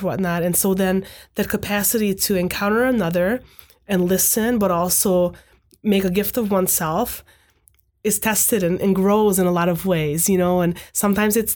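A woman in her thirties whose first language is Hungarian, a podcast guest speaking in a measured, reflective way and often checking in with "right?". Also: fairly low pitch, darkish tone. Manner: thoughtful, measured, frequent "right?" tags. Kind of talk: reflective conversational talk.

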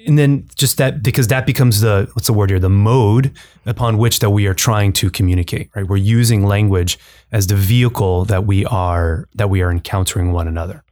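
A man's voice: on the low side, dry recording. A man from Nicaragua who grew up speaking both Spanish and English, hosting a podcast and answering with ready affirmations. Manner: fast, warm affirming interjections. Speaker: podcast host. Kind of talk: conversational interview. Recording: dry close mic.

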